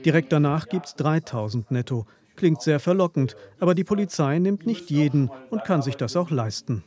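A man with a slightly higher, mellow voice reading a news report voice-over. His delivery is measured and even. The reading is clearly scripted. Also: faint music behind.

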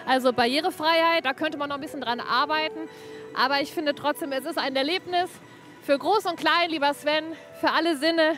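A woman's voice: sits high, brisk, fairly bright tone, soft background music. A woman reporting on location for TV from a museum ball pit, chatty and often adding tag questions.

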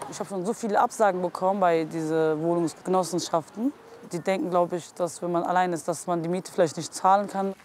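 A woman's voice: fairly low pitch, fairly even intonation, brisk, darkish tone, slight background noise. A woman in her twenties talking plainly about her own life in an interview. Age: 20s.

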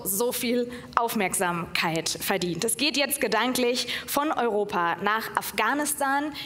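A woman presenting an award ceremony, moderating from the stage. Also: a little high. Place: large event hall on stage. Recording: reverberant hall sound over PA.

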